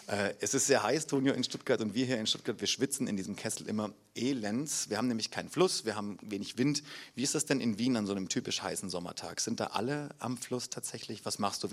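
Low-voiced German man moderating a reading. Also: breathy edge. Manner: chatty, hesitant with fillers. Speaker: event host. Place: city library event room.

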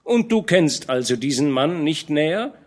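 A man voicing a shrewd, fatherly older field captain who speaks in a knowing, admonishing way, with lively pitch. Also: moderate pace. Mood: probing.

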